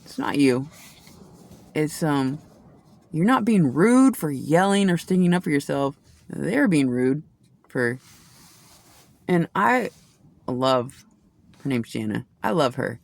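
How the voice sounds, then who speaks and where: A woman's voice: sits low, animated, slow pace, vocal fry, pauses often. A woman giving a casual tarot reading, talking in a rambling, chatty way with many restarts and asides. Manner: says she has a loud voice. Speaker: tarot reader.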